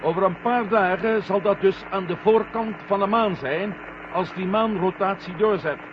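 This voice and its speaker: A man acting a headstrong astronaut in a vintage radio play. His voice sits high for a male, and he talks in a commanding, impatient way. The old recording is dull, with clear noise over it. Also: normal speed, natural voice. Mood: businesslike. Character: headstrong, curious male astronaut on a moon mission. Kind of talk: 1970 Dutch radio drama acting.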